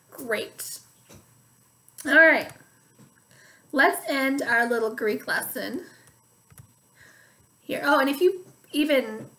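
A woman in her thirties teaching a music lesson to young children from home, speaking slowly and clearly and singing phrases for them to repeat, recorded on a laptop webcam. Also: high voice, pushed and bright. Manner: slow, clear teacher talk, sings call-and-repeat phrases. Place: dining room at home, indoors. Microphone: webcam or laptop at arm's length.

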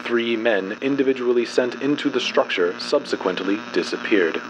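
A man narrating a horror fiction file as a dramatic reading, in a formal, clinical, measured delivery. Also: medium pitch, noisy background.

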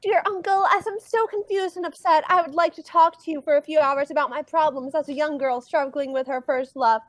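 A lovestruck teenage cartoon duck girl, acted by a woman, gushing and melodramatic. Her voice is high and recorded dry and close, as in a comic dub. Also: fairly even intonation, brisk, no room sound, close to an everyday voice. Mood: earnest. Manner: gushing, dramatic delivery. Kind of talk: live comic dub reading.

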